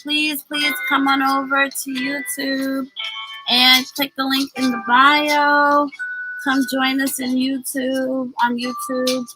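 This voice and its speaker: High-voiced, unhurried woman teacher over music. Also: little pitch movement, rough recording. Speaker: host, children's reading teacher. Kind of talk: casual livestream chat and phonics lesson with a child.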